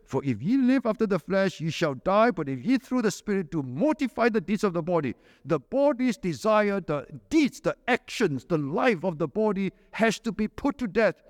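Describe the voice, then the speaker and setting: A man's voice: no room sound, studio quality. A man preaching a sermon, telling a personal story and building to emphatic, repeated lists. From Malaysia. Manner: repetitive emphatic lists, rising to forceful pitch.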